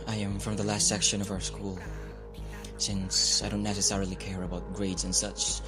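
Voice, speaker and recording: A resentful young student in a radio drama, played by a man, earnest and low-voiced. Music plays clearly beneath his account. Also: little pitch movement, moderate pace, lightly acted. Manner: earnest, rising to anger. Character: angry young male student from the lowest class.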